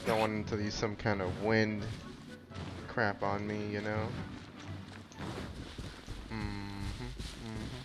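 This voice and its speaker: A man's voice: lowish voice, flat delivery, takes it slowly, long pauses. A man giving casual gameplay commentary in offhand, muttered asides while hosting a playthrough. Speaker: gamer, playthrough host. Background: game music.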